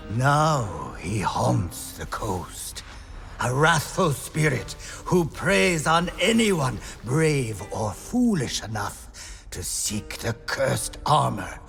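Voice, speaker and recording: An actor playing a haunted pirate sailor who spins grim legends with theatrical, ominous pauses in a video game. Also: male voice, medium pitch, sing-song, vocal fry, a bit bright, soft background music, heavily acted. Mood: grave, foreboding. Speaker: storyteller. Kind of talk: dramatic campfire-style storytelling in a video game.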